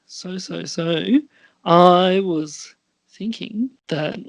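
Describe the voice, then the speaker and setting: A man's voice: sits high, very slow, creaky, fairly bright tone, no room sound. A man chatting casually in a hesitant, self-deprecating way, with giggles. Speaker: amateur scriptwriter and performer. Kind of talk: casual chat.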